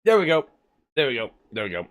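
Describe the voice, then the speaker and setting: A man's voice: mid-range voice, wildly swooping pitch, quick pace. A man streaming live, chatting casually while sick with a cold and cough, rambling with many fillers and the odd swear word. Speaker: livestreamer. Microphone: desk microphone.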